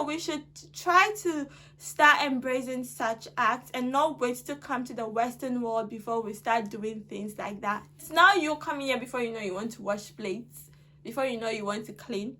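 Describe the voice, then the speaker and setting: A young Ghanaian woman giving earnest, emphatic advice to camera in a vlog. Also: on the high side. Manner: earnest, animated, rising to emphatic exhortation. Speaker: vlogger.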